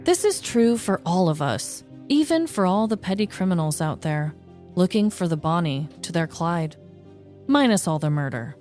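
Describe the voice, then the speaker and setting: A young adult woman co-hosting a podcast, chatting casually and telling a story, animated with quick laughing asides. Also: on the low side, faint music behind. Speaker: podcast co-host. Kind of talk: casual chat and scripted storytelling.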